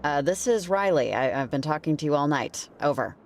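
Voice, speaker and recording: Casting: grounded, practical heroine, direct and unhurried delivery, naturalistic game dialogue. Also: female voice, low voice, lively intonation, a little hiss or hum. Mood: uncertain. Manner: measured, direct, matter-of-fact. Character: level-headed, practical woman named Riley.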